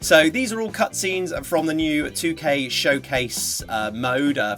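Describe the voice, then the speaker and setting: A man's voice: medium pitch, fairly bright tone. A man giving casual reaction commentary on game footage as a gaming channel presenter. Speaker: YouTube gaming reviewer and presenter.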